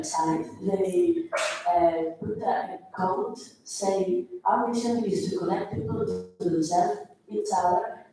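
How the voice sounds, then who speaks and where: A young woman from Greece giving a group presentation as a student, speaking in a hesitant, halting way. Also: lowish voice, warm tone. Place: large echoey room at a student plenary. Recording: very echoey hall, distant mic, faint noise.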